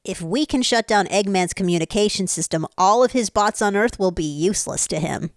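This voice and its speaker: A woman's voice: mid-range voice, a little fast, dry recording, clean studio sound, natural voice. A woman voicing a sweet, earnest young fox boy with a childlike manner in a fan audio drama.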